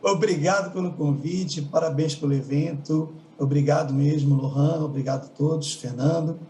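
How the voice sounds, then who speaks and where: A middle-aged man with a Carioca accent, a customer and digital director speaking on an online panel in a relaxed, conversational way. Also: fairly high pitch, normal speed, slight background noise, poor audio. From Rio de Janeiro, Brazil. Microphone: video call.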